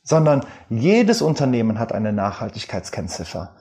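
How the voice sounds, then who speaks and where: A man with a Rhineland German accent, a guest expert giving measured, explanatory answers with frequent fillers in an interview. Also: on the low side, sing-song, warm tone.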